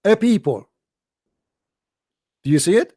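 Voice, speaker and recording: A man whose first language is Arabic argues insistently with a caller, his voice sitting fairly high. He cuts in with strings of "no" and slows right down when he reads passages aloud. The sound is dry and close, with no room around it. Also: expressive, dark tone, no room sound. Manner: insistent, argumentative, repeats "no, no"; slows down to read scripture aloud. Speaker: host. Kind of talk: live call-in debate.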